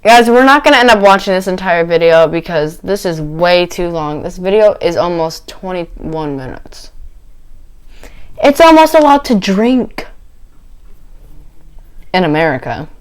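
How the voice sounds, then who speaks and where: A woman's voice: fairly low pitch, expressive, unhurried, long pauses, smooth. A woman hosting a reaction video, commenting casually in a playful, blunt way.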